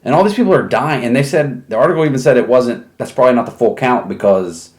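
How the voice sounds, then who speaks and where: An American man with a medium-pitched voice, co-hosting a casual podcast. His talk is blunt and sweary, full of questioning, in an informal conversational style. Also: lively intonation, quick pace, a little mellow. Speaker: podcast co-host. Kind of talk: casual chat.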